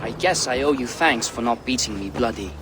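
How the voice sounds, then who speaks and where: Man playing a brash, animated teenage Viking prince. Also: mid-range voice, brisk, background noise, lightly acted. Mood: conceding. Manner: brash, sarcastic. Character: defiant, cocky seventeen-year-old Viking prince. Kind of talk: video game cutscene acting.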